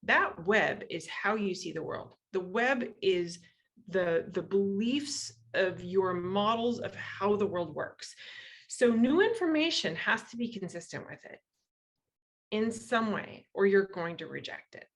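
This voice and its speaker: A woman's voice: mid-range voice, expressive, unhurried. A woman from western Iowa with an American accent gives an explanatory public talk as a guest lecturer, conversational and checking in with rhetorical questions. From western Iowa, United States.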